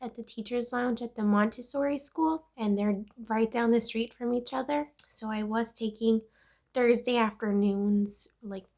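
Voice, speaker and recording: A woman's voice: on the high side, steady tone, measured pace, a little mellow. Congested American woman chatting to her vlog. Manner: chatty, informal. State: congested from a cold. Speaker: vlogger, pre-K instructional coach. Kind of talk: casual vlog update.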